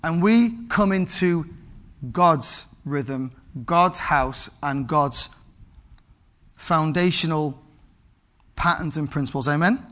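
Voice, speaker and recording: A man, preaching an animated sermon to his congregation and calling out for amens. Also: fairly high pitch, slow pace, pauses often. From England. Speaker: pastor.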